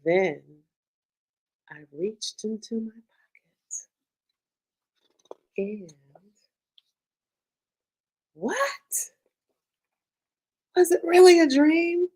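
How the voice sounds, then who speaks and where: A middle-aged woman who writes children's books, reading her story aloud with lively pitch swings and then chatting casually, leaning on "you know.". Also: drawn-out words, long pauses, poor audio. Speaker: children's book author. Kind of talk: reading her picture book aloud, then interview chat. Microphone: video call.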